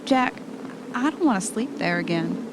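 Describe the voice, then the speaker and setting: A woman's voice: mid-range voice, expressive, moderate pace, lightly acted. A woman acting an affectionate, easily unnerved young wife in an amateur audio drama, speaking casually and conversationally. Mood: rattled. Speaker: actor in an amateur radio drama. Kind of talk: naturalistic audio drama dialogue. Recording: noticeable background noise, amateur recording.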